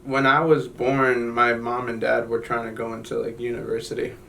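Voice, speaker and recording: Young man from Guatemala, gravelly flat voice. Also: mid-range voice, distant mic, slight background noise, low-quality recording. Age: young adult. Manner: halting, many fillers and restarts. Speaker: interviewee. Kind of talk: personal story in an interview.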